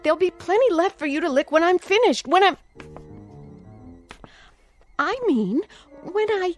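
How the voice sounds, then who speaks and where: An actress playing an anxious, doting aunt in a radio drama, sweetly coaxing and nervously repeating herself. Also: female voice, extremely high pitch, animated, measured pace, soft background music. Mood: flustered. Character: anxious, doting aunt placating a feared nephew.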